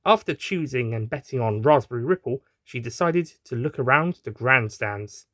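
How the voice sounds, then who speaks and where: A man narrates a scripted audio story. His voice sits at a middling pitch. The sound is dry, with next to no room in it. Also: expressive, normal speed, bright, forceful tone, no room sound. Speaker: writer and narrator.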